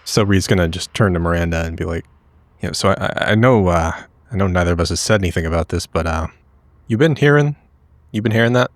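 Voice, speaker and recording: Low-voiced man roleplaying casually. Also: fast talker, no echo. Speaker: tabletop game player. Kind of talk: casual in-character roleplay and table banter.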